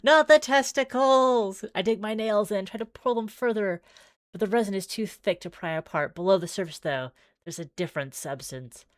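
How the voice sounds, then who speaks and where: A woman's voice: medium pitch, normal speed, no room sound. A woman streaming a visual novel, reading the text aloud theatrically with wry, exclaiming asides.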